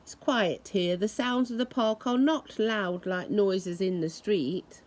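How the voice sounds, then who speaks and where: A woman reading a children's story aloud in a deliberate, expressive way, imitating the sounds it describes. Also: mid-range voice. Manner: deliberate, acts out noises expressively.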